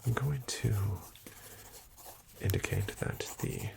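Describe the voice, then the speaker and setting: A man doing a soft-spoken ASMR doctor roleplay, talking slowly in a gentle half-whisper with hesitant fillers. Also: mid-range voice, vocal fry.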